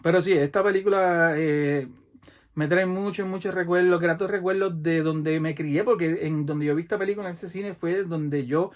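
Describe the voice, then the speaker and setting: A man in his forties with a Puerto Rican accent, excitedly retelling a movie in a casual vlog, acting out gunshots with mouth sounds. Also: fairly high pitch, normal speed. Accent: Puerto Rican Spanish. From Puerto Rico. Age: 40s. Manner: animated, imitates gunshots and action with mouth sounds. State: sweaty from the heat. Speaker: vlogger reviewing a film.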